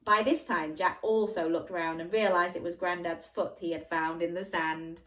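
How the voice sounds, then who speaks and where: A woman reading a children's story aloud, animated and playful, breaking off to ask the listener questions. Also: on the low side, normal speed. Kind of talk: interactive bedtime story reading for young children.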